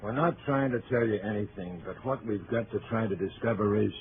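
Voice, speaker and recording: A man's voice: fairly low pitch, close to an everyday voice. Man acting a plain-spoken cop on muffled old radio. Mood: placating. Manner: colloquial, halting, matter-of-fact. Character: plodding, plain-spoken policeman investigating a call. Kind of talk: 1940s radio suspense acting. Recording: muffled, noisy 1940s radio broadcast.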